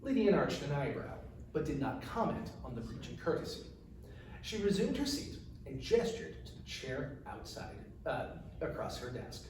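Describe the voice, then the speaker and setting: Echoey, high-pitched man reading a story. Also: very animated, normal speed. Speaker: author reading own fantasy novel. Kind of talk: expressive audiobook-style reading. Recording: echoey room, distant mic, faint noise.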